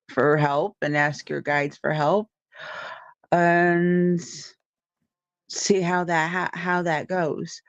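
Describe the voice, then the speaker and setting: A woman's voice: low-pitched, clear, resonant tone, dry recording. A woman co-hosting a livestream, reading a tarot card in a casual, meandering way full of ums and restarts. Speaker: tarot reader and co-host. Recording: dull lo-fi stream audio.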